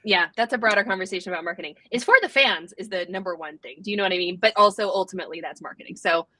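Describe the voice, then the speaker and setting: A woman's voice: on the low side, rapid-fire, bright, forceful tone, dry recording. A woman hosting a live Q&A, upbeat and chatty as she reads out fan questions. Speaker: host.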